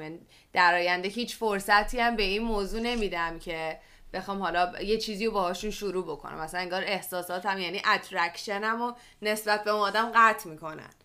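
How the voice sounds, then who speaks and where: A woman's voice: medium pitch, bright, forceful tone. A woman in a casual podcast chat, speaking informally and mixing English words into her speech. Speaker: podcast guest or co-host.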